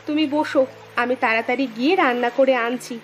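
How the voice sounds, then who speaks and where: A woman voicing a lively, kindly village housewife in a moral-tale cartoon, animated and exclaiming. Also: high-pitched, quick pace, natural voice. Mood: welcoming. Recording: music with strings behind the voice.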